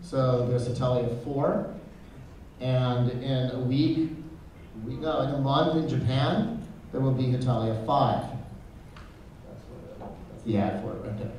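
A young man hosting a convention panel at a table mic, brisk and energetic as he hands off to other speakers. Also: mid-range voice, a little hiss or hum. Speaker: panel host. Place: large convention hall. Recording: echoey hall, taped from far off.

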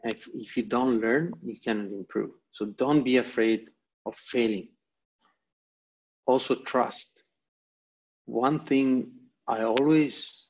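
A man's voice: mid-range voice, a little mellow. An Italian man with an Italian accent presenting a motivational online lecture, speaking in emphatic, repeated short phrases over a video call. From Italy. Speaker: guest presenter. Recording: dull, lo-fi video call audio.

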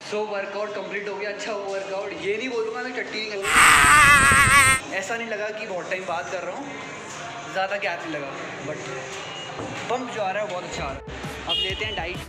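A man's voice: high voice, brisk, vocal fry, pauses often, loud music behind the voice. A young man chatting casually to viewers in a vlog, upbeat and talking straight to them, filmed on a phone held at arm's length. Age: young adult. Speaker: vlogger. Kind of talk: casual vlog chat to camera. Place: home room, indoors.

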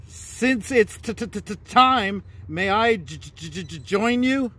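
A man's voice: sits high, animated, measured pace, pushed and bright, husky, voice pushed up, exaggerated voice. A man voicing a small, polite, nervous squirrel from a storybook, stammering on first sounds. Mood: nervous, eager.

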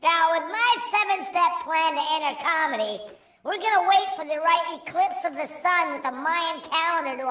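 A very high, processed voice from a voice changer, performing improvised mock stand-up in a game lobby. The delivery is loud, theatrical and prone to sing-song repetition. It sounds roomy and set back from the microphone. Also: recorded from a distance. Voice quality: altered high-pitched voice. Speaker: amateur comic and room admin in an online comedy game. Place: online game voice chat. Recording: roomy echo, off-mic game voice chat.

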